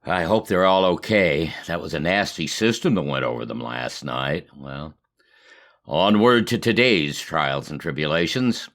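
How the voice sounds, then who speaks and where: A man playing a steady, kindly, plain-spoken ham radio operator in an audio drama. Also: a bass voice, unhurried, voice pushed down, heavily acted. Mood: concerned, defending.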